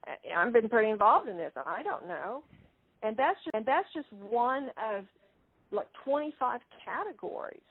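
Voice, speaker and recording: A woman lawyer making a persuasive argument on a phone conference, polite but pressing and somewhat halting. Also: a little high, sing-song, breathy edge, dry recording. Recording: dry, narrow tinny phone line.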